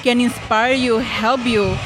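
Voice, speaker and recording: A woman's voice: on the high side, fairly even intonation, slow pace, music in the background, rough recording. A young woman from Mexico, answering interview questions about her film club in a hesitant way with many fillers. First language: Spanish. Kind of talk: casual interview.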